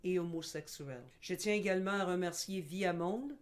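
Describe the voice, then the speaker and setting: A middle-aged woman from Quebec, speaking slowly and carefully with pauses as a guest speaker. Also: on the low side. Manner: slow, measured, with frequent pauses and fillers. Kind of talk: webinar presentation; scripted narration in the video part.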